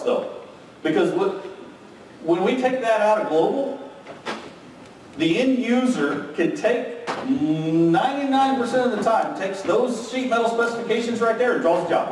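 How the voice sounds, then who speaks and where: A man's voice: sits high, distant mic, slight background noise. Man presenting in an echoey ballroom. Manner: energetic, frequent "okay?" and "right?" checks. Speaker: conference presenter. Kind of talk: technical keynote presentation. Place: large hotel conference room with audience.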